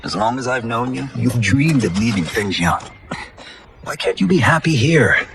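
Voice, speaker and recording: An actor playing an earnest, contented young farmer in a video game cutscene, sincere and gently good-humored. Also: male voice, mid-range voice, animated, normal speed, gravelly, noisy background.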